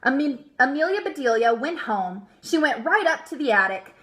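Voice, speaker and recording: Bright, high-pitched woman reading aloud to children. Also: moderate pace. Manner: expressive, emphatic. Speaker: storybook reader. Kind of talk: animated children's read-aloud.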